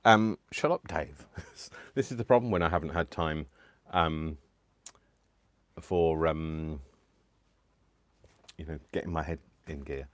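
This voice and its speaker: A man's voice: a bass voice, slow pace, pauses often, no room sound. A British man hosting a live crafting stream, rambling casually to viewers with lots of ums, small laughs and self-deprecating asides. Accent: British English. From United Kingdom. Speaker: crafting livestream host.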